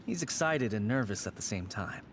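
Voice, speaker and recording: A man's voice: on the low side, animated, a little fast, a little hiss or hum, close to an everyday voice. An actor playing a kindly, nostalgic grown man in a video game, with an earnest, friendly delivery. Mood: cheerful. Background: game music.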